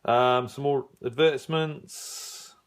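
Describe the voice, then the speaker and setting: A middle-aged man giving a casual, unscripted review, musing and halting as he thinks aloud while browsing. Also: medium pitch, fairly even intonation, clear, resonant tone. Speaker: radio hobbyist reviewing an old magazine.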